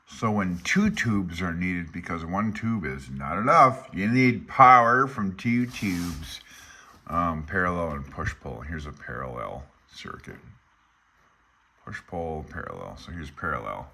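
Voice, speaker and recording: Very deep-voiced man, informal explainer. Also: lively intonation, slow, bright, forceful tone, breathy edge. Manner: folksy, offhand, thinking aloud. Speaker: instructor reading through a radio handbook. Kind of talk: informal explanation mixed with reading aloud.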